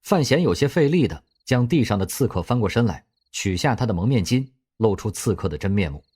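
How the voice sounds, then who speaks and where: A man narrating an audio drama in an expressive storytelling manner. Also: lowish voice, fairly quick, husky.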